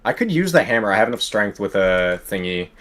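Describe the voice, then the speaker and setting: A man's voice: fairly low pitch, big pitch swings, brisk, a bit bright. A man playing a game on stream as co-host, grumbling and making over-the-top joking threats at the game. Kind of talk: casual gameplay commentary.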